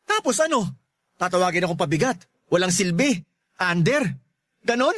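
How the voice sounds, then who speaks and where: High-pitched, exasperated young Filipino man. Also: sing-song, moderate pace, fairly bright tone, dry recording, studio quality, exaggerated voice. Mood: bitter, doubting. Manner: incredulous, exasperated, firing rhetorical questions. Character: defeated, self-doubting young jobless boyfriend, exasperated. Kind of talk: Filipino radio drama acting.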